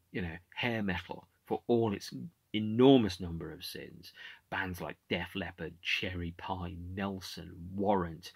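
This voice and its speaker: Man, animated home commentary, gravelly, dry close sound. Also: deep voice, dry recording. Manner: animated, gestures with hands, many fillers and restarts. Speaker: podcast host. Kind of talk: casual music commentary. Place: home room with shelves of CDs.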